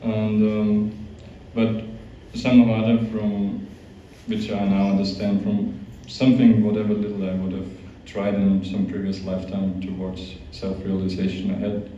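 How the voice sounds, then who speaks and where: A man's voice: fairly low pitch, little pitch movement, normal speed, hardly any pauses, warm tone, slight background noise. A young man from Austria with an Austrian accent, giving an informal, hesitant talk full of fillers and restarts to a youth group. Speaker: guest speaker, monk. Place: large echoey hall. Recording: echoey, distant, dull hall recording.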